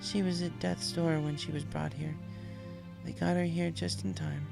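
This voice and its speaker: A man voicing a polite, sympathetic but firm female pony nurse in a storybook, gentle and professional. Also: a little high, little pitch movement, moderate pace, gravelly, over loud music, voice pushed up. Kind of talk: storybook character voice.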